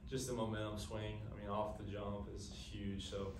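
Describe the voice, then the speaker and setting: A young man answering questions at a postgame press conference, earnest and quick, with lots of fillers. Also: lowish voice, completely flat delivery, background noise. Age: young adult. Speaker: college quarterback. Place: press room, seated at a table. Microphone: table mic, camera at a distance. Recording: echoey room, from a distance.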